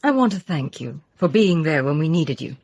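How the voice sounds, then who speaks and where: A poised Victorian adventuress, husky and close in a dry sound. She is gracious and softly coaxing, with a romantic streak and lively swings of pitch, in radio drama style. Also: normal speed, no echo, highs cut off, heavily acted.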